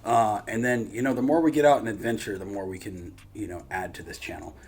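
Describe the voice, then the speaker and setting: A man with a fairly low voice hosts a casual reaction channel. He rambles along informally, with plenty of slang, as if talking with friends and viewers. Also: fairly even intonation, brisk, a little mellow. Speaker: YouTube channel host.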